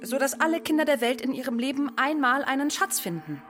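Casting: wide-eyed cautious schoolgirl, fairly high, questioning and playful, radio drama over faint music. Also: big pitch swings, fairly quick, a bit bright. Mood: curious, dreamy. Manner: questioning, childlike.

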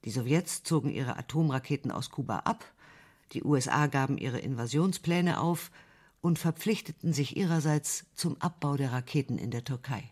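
A man reading a history documentary script in a clear, composed way. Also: medium pitch, normal speed, a little mellow. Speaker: documentary narrator.

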